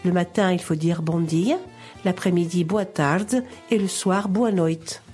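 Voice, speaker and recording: A woman reading her scripted radio column in a measured, reassuring, explanatory way. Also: lowish voice, fairly even intonation, darkish tone, soft background music.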